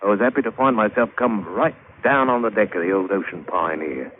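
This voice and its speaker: A man's voice: on the low side, a little fast, slight background noise, lightly acted. An actor playing a weathered sailor telling his sea tale in colloquial dialect, in old radio drama style. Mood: brooding, nostalgic. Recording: tinny old radio recording with music.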